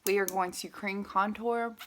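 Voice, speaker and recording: A woman's voice: mid-range voice, steady tone, moderate pace. A young adult woman, chatting through a makeup tutorial while she has a sore, stuffy throat. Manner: chatty, upbeat, lots of fillers. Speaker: beauty vlogger. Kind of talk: casual makeup tutorial chat.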